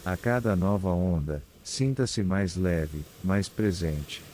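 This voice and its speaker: A man with a Brazilian accent guides a scripted meditation in a calm, soothing, unhurried manner with long pauses. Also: sits low, a little hiss or hum. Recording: clear background ambience of ocean waves. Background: sea waves ambience.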